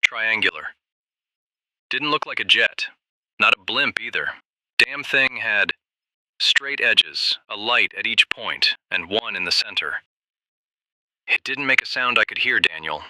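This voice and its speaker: Radio drama caller, an uneasy ranger: very sharp-edged, low-pitched, halting, plain-spoken. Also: male voice, lively intonation, exaggerated voice, rough recording. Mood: uneasy, insistent. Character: shaken, earnest desert ranger calling radio show. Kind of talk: radio drama phone-in testimony.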